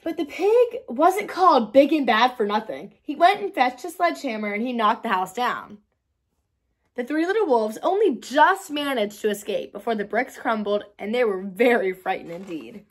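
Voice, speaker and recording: A woman narrating a children's storybook aloud in an expressive, deliberate storytelling manner. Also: on the high side. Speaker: read-aloud host.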